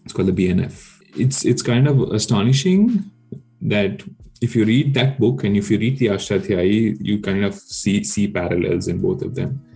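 A man's voice: on the low side, moderate pace, creaky, warm tone, soft background music, poor audio. A man whose first language is Telugu, a guest researcher explaining his work in casual podcast chat, hesitant with many fillers and restarts.

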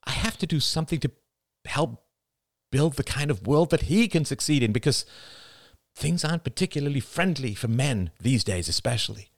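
A man's voice: mid-range voice, expressive, moderate pace, no echo, clean studio sound, pitched above the performer's own voice. A man voicing an anxious, earnest young father who pleads with feeling in an audiobook reading. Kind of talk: audiobook dialogue.

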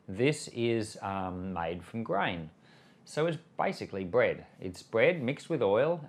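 An Australian man, a pastor hosting a Bible podcast, reading and explaining scripture with measured, emphatic phrasing. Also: mid-range voice. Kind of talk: scripture reading with devotional commentary.

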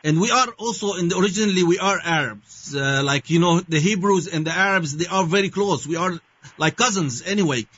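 A man from Syria with a Syrian Arabic accent, answering interview questions haltingly and searching for his words with many fillers. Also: high-pitched, a bit bright, slightly rough. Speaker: guest. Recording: dull lo-fi sound.

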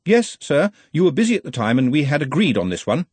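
A man's voice: fairly high pitch, big pitch swings, fast, a little mellow, husky, no echo, pitched above the performer's own voice. A man voicing an anxious, pedantic, long-suffering wizard's assistant in a storybook, fussy and deferential. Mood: anxious protesting.

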